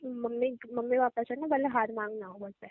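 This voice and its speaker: A woman's voice: on the high side, fairly even intonation, a little fast. A young woman in casual relationship banter on a phone call, with a teasing, playful way of talking. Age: young adult. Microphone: phone line. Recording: tinny narrowband phone call.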